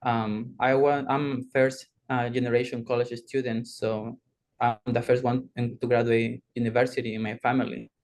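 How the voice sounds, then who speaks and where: Flat-voiced man from Peru. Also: medium pitch, moderate pace. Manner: steady, with frequent ums and uhs. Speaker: former student guest. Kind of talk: personal story on a video call.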